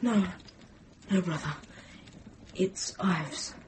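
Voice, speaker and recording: A performer in a radio drama playing an earnest, frightened young boy, gentle and pleading. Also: mid-range voice, drawn-out words, a little hiss or hum. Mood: gentle, coaxing.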